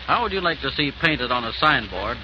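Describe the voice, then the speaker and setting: A man's voice: medium pitch, very animated, brisk, lightly acted. An actor playing a friendly, enterprising businessman with a casual manner in a 1940s radio drama. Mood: tentative, persuasive. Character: friendly, enterprising businessman pitching a partnership to an old pal. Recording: noisy, dull 1940s radio broadcast.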